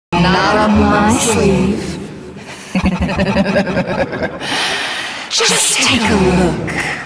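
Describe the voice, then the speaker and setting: A woman voicing a megalomaniacal, contemptuous female tyrant who craves godhood, in theatrical video game villain style. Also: mid-range voice. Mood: smug, menacing.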